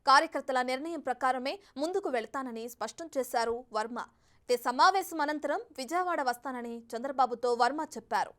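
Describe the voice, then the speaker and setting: High-pitched woman anchoring live TV news. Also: normal speed.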